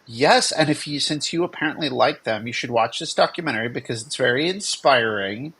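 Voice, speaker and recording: A man's voice: mid-range voice, animated, brisk, sharp tone, husky. A man co-hosting in a casual, unscripted chat, talking in a rambling, jokey way. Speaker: co-host and game developer.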